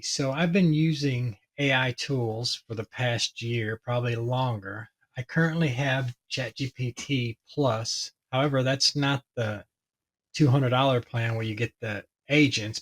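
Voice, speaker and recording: A man with a hoarse voice talking casually and ramblingly as he explains a tech topic to his viewers, with many fillers. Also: mid-range voice. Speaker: tech video host.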